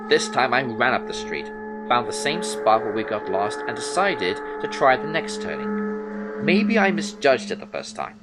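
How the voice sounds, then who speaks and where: A man playing a troubled detective who narrates an eerie horror audio drama in the first person, in a measured, brooding way. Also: mid-range voice, very animated, very noisy. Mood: urgent, unsettled. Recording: music under the voice. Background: music soundtrack.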